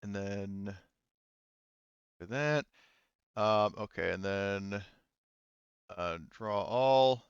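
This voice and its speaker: Smooth-voiced male game master, halting. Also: fairly low pitch, dry recording, highs cut off. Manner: halting, thinking aloud through rules. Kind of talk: running a tabletop roleplaying game, casual.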